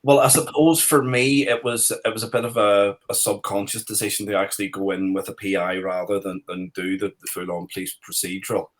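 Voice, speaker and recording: A man's voice: lowish voice, fairly even intonation, a little fast, few pauses. A man with a Northern Irish accent, speaking as a crime writer on a panel, thoughtful and hesitant with frequent restarts. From Northern Ireland. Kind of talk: panel discussion.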